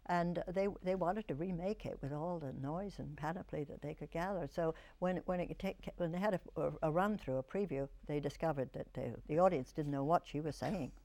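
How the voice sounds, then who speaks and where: Older actress, reflective storyteller. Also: female voice, low voice, a little fast, few pauses, dark tone. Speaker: actress, interview guest. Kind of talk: reminiscing interview answers.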